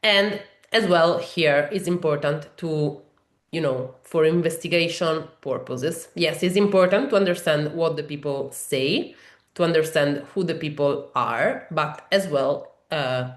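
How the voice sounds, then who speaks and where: A woman with an Italian accent, a native Italian speaker, presents a software walkthrough for a webinar audience. Her voice sits fairly low, and she explains patiently, often pausing on fillers and restarting her phrases. The sound carries a noticeable room echo. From Italy. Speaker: software trainer.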